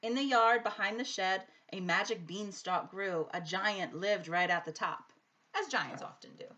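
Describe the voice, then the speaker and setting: A woman reading a rhyming children's story aloud like a teacher, with an expressive, sing-song rhythm. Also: mid-range voice, moderate pace, dull audio. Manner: animated, sing-song rhythm following the rhyme.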